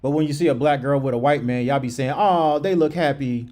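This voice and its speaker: A man's voice: medium pitch, brisk, noisy background. A man informally reading out online comments and adding his own asides.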